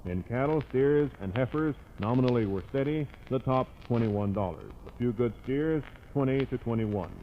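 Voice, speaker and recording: A man with an American accent delivers a scripted radio news bulletin in 1950s broadcast style. His voice sits at an ordinary male pitch. The old recording is muffled and carries faint background noise. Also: animated, moderate pace, hardly any pauses. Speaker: radio newscaster.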